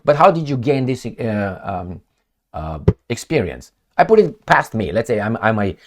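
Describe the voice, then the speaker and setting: A man's voice: fairly low pitch, big pitch swings, normal speed. A man from Romania, giving rambling, sarcastic solo commentary on news reports, full of fillers and restarts. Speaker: political commentator. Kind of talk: casual solo commentary reading news aloud.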